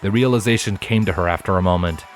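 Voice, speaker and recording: A man's voice: low voice, fast talker, faint music behind. A man reading a story aloud as scripted narration. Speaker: fan fiction narrator.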